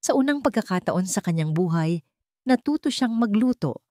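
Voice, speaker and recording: A woman's voice: mid-range voice, expressive, normal speed, mellow, dry recording, clean studio sound. A woman reading a scripted dramatic story as a storyteller.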